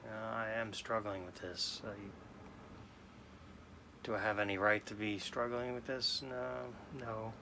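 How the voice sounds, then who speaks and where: Sad late-40s guy from new york, halting, lots of restarts, bright tone, pretty flat. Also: on the low side, creaky, slight background noise. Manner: halting, subdued, many restarts. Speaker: sports card vlogger. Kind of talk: casual personal talk to camera.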